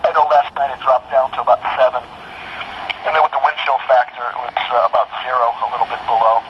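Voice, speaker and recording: A man's voice: mid-range voice, level tone, quick pace. American man chatting over noisy ham radio. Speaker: amateur radio operator. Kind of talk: casual, rambling radio chat. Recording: dull, noisy internet radio link.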